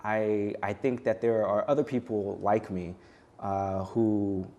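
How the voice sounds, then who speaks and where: A man in his thirties with a fairly low voice gives reflective answers in a studio interview. He talks in a measured, thoughtful, personal way, taking his time to find his words. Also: fairly even intonation, warm tone, muffled recording. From United States. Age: 30s. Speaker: guest poet and educational consultant. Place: television studio.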